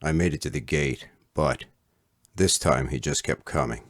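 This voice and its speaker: A middle-aged man reading an autobiographical story as its author, in a measured, literate storytelling delivery. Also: a bass voice, fairly bright tone, no echo, studio quality. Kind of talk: scripted autobiographical narration.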